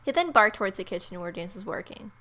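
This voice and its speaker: A woman's voice: medium pitch, big pitch swings, fast, sharp tone. A woman hosting a let's play, reading game narration expressively and adding playful, wry casual asides. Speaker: gamer, video host.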